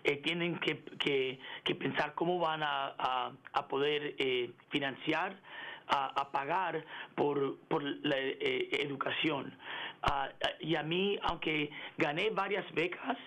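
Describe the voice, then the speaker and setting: A middle-aged man in a radio interview, speaking haltingly with many fillers and restarts and slipping into English words. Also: on the high side, sharp tone, low-quality recording. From United States. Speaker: interview guest, engineering executive. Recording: radio broadcast.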